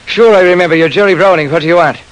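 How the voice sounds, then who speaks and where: A man playing an excitable, honest garbage collector in an old-time radio drama. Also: sits high, rapid-fire, a little hiss or hum, pitched above the performer's own voice, lightly acted, rough recording. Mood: evasive. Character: excitable honest Irish-American garbage collector turned millionaire. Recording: vintage radio recording.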